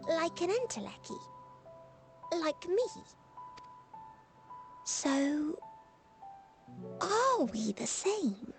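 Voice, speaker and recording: A woman voicing a curious, childlike female entelechy creature in a game cutscene, talking slowly with wonder. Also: very high voice, sing-song, full character voice. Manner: slow, wondering, innocent. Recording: game audio with music behind.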